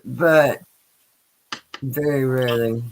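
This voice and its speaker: A woman's voice: a bass voice, steady tone, takes it slowly, background noise. A woman from Colorado co-hosting a casual live-stream chat, with brief, agreeable remarks. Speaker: co-host.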